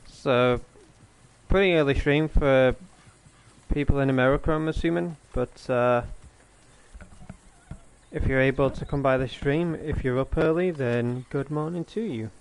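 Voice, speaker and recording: A man's voice: medium pitch, creaky, a little hiss or hum. Laid-back young man streaming a game. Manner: loose, joking, talks to chat. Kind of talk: casual gaming stream chat. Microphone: gaming headset.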